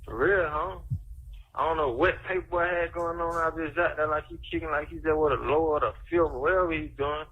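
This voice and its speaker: A man's voice: fairly high pitch, steady tone, brisk, slightly rough. A man chatting casually in profane street slang on a noisy, tinny jail phone call. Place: jail phone call played back in court.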